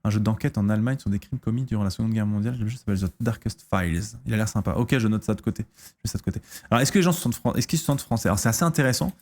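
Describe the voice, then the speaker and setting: Man, thirties, from France, fairly low voice, casual stream chat, halting, many fillers. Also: a little mellow. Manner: hesitant, lots of fillers and restarts.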